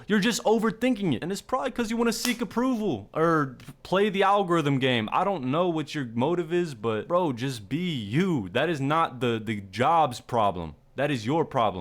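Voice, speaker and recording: Male content creator, mid-pitched, blunt and slangy; emphatic, sweary, casual opinion commentary.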